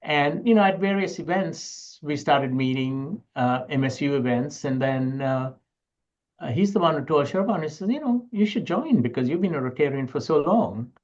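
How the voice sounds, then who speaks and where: A man's voice: fairly high pitch, lively intonation, normal speed, darkish tone, muffled recording. A middle-aged man from Sri Lanka, answering conversationally as an interview guest. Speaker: interview guest, university educator and researcher.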